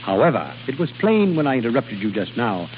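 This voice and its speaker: An actor playing a suave, formal gentleman in an old radio drama, coolly reproachful and pointed. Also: medium pitch, big pitch swings, brisk, lightly acted. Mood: reproachful, stiff. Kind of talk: 1940s-50s radio drama acting. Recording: dull, hissy old radio broadcast.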